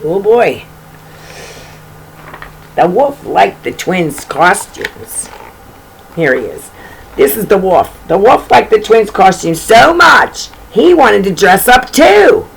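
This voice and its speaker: A woman with a low, creaky voice reads a storybook to children. She is chatty and folksy, often stepping out of the text to comment on the pictures. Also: very animated, takes it slowly, pauses often, slight background noise, low-quality recording. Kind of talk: informal read-aloud storytelling with chatty asides.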